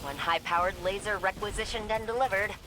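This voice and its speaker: An actress playing a plucky, quippy young tech expert and pilot in a video game, with a breezy, informal way of talking. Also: female voice, mid-range voice, fairly quick, music in the background, close to an everyday voice, rough recording.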